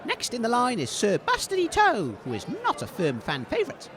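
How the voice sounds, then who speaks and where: Man playing a high-pitched, excitable radio sports commentator. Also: normal speed, exaggerated voice. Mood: cheerful announcing. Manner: enthusiastic, hesitant, easily flustered. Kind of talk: comic scripted sports commentary.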